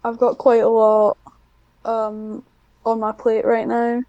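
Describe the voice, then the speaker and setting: A woman's voice: fairly high pitch, completely flat delivery, takes it slowly, no room sound, highs cut off. A young woman chatting casually as an interview guest, with a self-deprecating, dryly joking manner. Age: young adult. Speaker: podcast guest, script writer.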